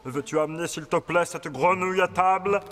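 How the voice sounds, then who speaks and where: A man's voice: a little high, brisk, a bit bright, close to an everyday voice. A man voicing a stern, weary king and father in a children's fairy-tale cartoon, formal and commanding. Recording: long reverb, faint music behind.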